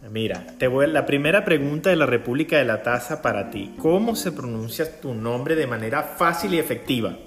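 A man's voice: mid-range voice, lively intonation, a little fast, hardly any pauses. A man hosting a live casual interview, chatty, effusive and joking with his guest. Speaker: host. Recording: roomy sound with guitar music behind. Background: guitar music.

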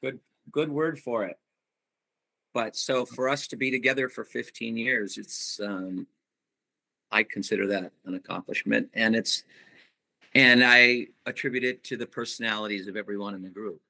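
A middle-aged man, a band singer, giving reflective interview answers and pausing often to find his words. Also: fairly low pitch, fairly even intonation, creaky, sharp tone, low-quality recording. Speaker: musician guest, band singer.

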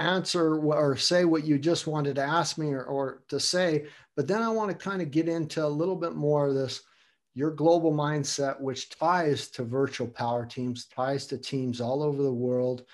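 Older man hosting, meandering questions. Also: fairly high pitch. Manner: meandering questions, repeats words as he goes. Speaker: host. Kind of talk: casual interview.

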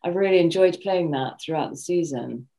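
A woman's voice: on the low side, normal speed, mellow. A woman from New Zealand with a British RP accent, an actress thoughtfully answering interview questions and searching for her words. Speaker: actress guest.

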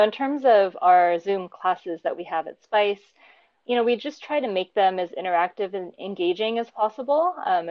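A woman in her thirties, raised between the US and Japan, answering interview questions in a thoughtful, casual way, often pausing with "um" and laughing lightly. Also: on the low side, no echo, dull audio. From born in Japan, raised between the US and Japan. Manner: warm, thoughtful, frequent ums and light laughs. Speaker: interview guest, program teacher.

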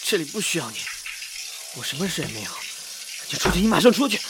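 A man playing a flustered, defensive young son of a wealthy family in a radio drama, agitated and halting. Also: on the high side, very animated, noisy background. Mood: alarmed, defensive. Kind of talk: Chinese radio drama acting.

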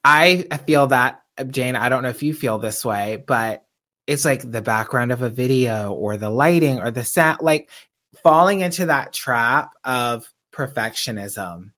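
A man co-hosting a podcast, with a naturally high voice. He talks in a reflective, self-aware way and grows animated when he presses a point. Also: measured pace. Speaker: podcast co-host. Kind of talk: casual conversational chat.

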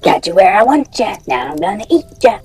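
A podcast host voicing a gross monkey that taunts from a closet in a quick sing-song chant, reading a campfire story. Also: medium pitch, sing-song, darkish tone, slightly rough, faint music behind. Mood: taunting.

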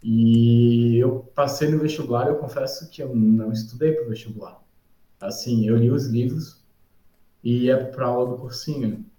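A man speaks in a warm, dark tone, relaxed and rambling as he recalls his student years. He keeps checking in with his listener through small tag questions. The room gives his voice a noticeable echo. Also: mid-range voice, normal speed. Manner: relaxed, rambling, with frequent "tá?" and "sabe?" tags. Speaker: podcast guest, civil engineer. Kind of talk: casual storytelling. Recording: roomy echo.

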